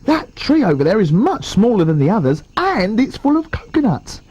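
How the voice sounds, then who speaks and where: A man voicing an eager young boy in a storybook reading, talking brightly. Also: sits high, sing-song, normal speed, husky, no room sound, voice pushed up, full character voice. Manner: eager, chatty.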